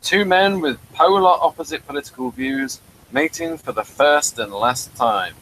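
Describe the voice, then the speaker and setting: A man's voice: a little high, unhurried, fairly bright tone, slight background noise, poor audio. A man hosting a casual review chat, rambling along with jokey asides. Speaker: host of an audio drama review show.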